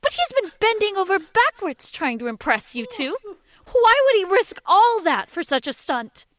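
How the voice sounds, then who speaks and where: Young, defensive, melodramatic daughter, extremely high and lively pitch, petulant pleading, audio comedy. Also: female voice, moderate pace, exaggerated voice. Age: young adult. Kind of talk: comic audio drama acting.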